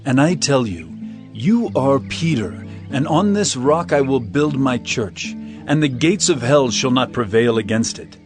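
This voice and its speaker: Male actor as admonishing holy teacher, declamatory, animated intonation, audio Bible drama, music underneath. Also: mid-range voice, measured pace, close to an everyday voice. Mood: solemn. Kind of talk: dramatized scripture reading.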